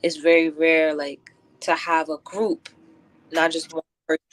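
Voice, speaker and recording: A woman's voice: sits low, flat delivery, unhurried, low-quality recording. A woman talking earnestly and reflectively as a guest in a casual conversational interview. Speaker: interview guest, event curator and poet.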